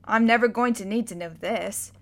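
A woman's voice: on the high side, normal speed, lightly acted. A woman voicing a stubborn, sulky six-year-old boy who protests and whines.

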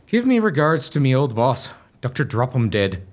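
A man's voice: mid-range voice, big pitch swings, moderate pace, natural voice. A man playing a cheeky working-class sidekick, jaunty and colloquial, in a gothic comedy audio drama.

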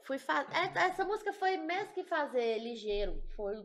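A woman's voice: sits high, big pitch swings, moderate pace. A woman from Brazil, a songwriter in a band, talking casually in a podcast interview and often searching for words.

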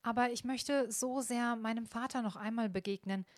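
A woman's voice: on the high side, steady tone, moderate pace, no echo, studio quality, close to an everyday voice. A woman voicing a kind young woman in a storybook reading, earnest and heartfelt. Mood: pleading.

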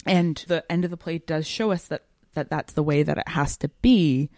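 A woman co-hosting a podcast, talking through a story in a casual, animated and emphatic way. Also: deep voice, moderate pace, dry recording, clean studio sound. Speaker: podcast co-host. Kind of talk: casual literary discussion chat.

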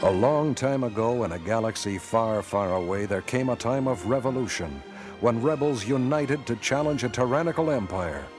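A man narrating an epic space saga for a radio drama in a measured, solemn storytelling style. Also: medium pitch, lively intonation, music in the background, close to an everyday voice. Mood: solemn, grave.

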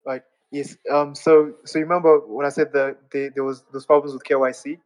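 Flat-voiced man, dry sound. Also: a little high, a little fast, no echo, poor audio. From Zimbabwe. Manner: earnest, explaining step by step. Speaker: audience speaker. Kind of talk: casual live audio chat.

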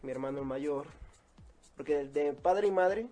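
A man's voice: fairly high pitch, normal speed, warm tone, soft background music. A man, chatting casually as an interview guest. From Mexico. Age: 20s. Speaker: interview guest, circus clown.

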